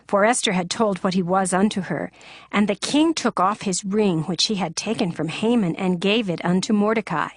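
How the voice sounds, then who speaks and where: A woman reading scripture as a scripted narrator, telling the story in a solemn, measured manner. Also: mid-range voice, dry recording, clean studio sound.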